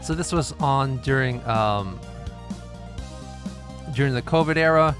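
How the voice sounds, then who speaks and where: A man from Lithuania, hosting a casual livestream chat into a studio microphone, relaxed and chuckling, with halting restarts. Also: medium pitch, music in the background. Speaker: streamer host. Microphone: studio dynamic microphone.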